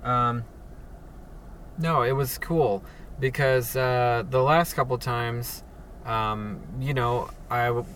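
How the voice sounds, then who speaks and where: A man hosting a casual solo podcast from his parked car, speaking in a monotone with many halting fillers and restarts. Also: mid-range voice, recorded from a distance, a little hiss or hum. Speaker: podcast host. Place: sitting alone in a parked car.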